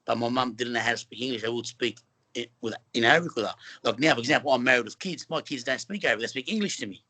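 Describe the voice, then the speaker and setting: A man's voice: medium pitch, very fast, sharp tone. A middle-aged Australian man from Western Sydney, speaking as a film actor guest in an earnest, rambling way with many fillers and restarts. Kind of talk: casual interview conversation.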